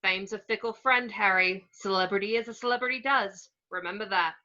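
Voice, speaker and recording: A woman's voice: medium pitch, brisk, bright, forceful tone, lo-fi sound, close to an everyday voice. A woman playing a cheery, vain male wizard professor in an amateur table read, breezy and self-admiring. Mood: pompous, cheery.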